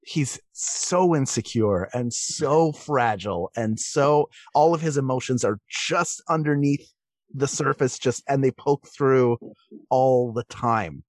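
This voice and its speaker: A man with a mid-pitched voice talks as a podcast guest in a dry, close recording. He speaks casually and analytically, earnest and emphatic as he makes his case. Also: takes it slowly, a little mellow, no room sound.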